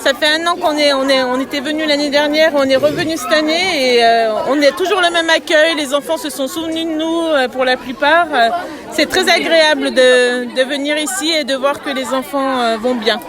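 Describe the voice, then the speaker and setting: A woman from a charity speaking in a halting on-site interview, with fillers. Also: high-pitched, steady tone, crowd noise. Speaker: charity association member.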